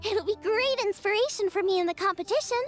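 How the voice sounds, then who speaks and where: A woman's voice: extremely high pitch, fast talker, soft background music. A woman voicing a bubbly, eager young girl cook in a video game. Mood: pleading, eager. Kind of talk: scripted video game voice acting.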